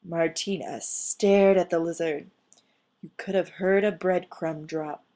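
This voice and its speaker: A woman reading a children's storybook aloud as an expressive storyteller. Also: lowish voice, takes it slowly.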